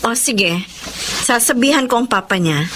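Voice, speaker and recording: A woman's voice: a little high, lively intonation, normal speed, a bit bright, a little hiss or hum. A woman playing an apologetic, friendly Filipino mother in a radio drama, sheepish and hesitant.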